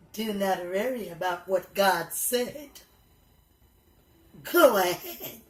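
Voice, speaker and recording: Woman playing a sly, slow-talking storybook serpent. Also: medium pitch. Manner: slow, drawn-out coaxing. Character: sly, tempting serpent, coaxing and giggling. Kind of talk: children's storybook character voice. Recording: echoey room.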